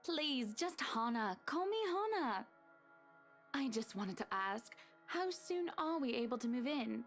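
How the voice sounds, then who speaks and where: A pushy, wealthy woman with a high, sweetly condescending voice, as a man puts her on. She drawls her words slowly and patronizingly, like she owns the room. Faint music plays behind the visual novel reading. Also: very animated, a bit bright, heavily acted. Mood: sugary. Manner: syrupy, slow, patronizing.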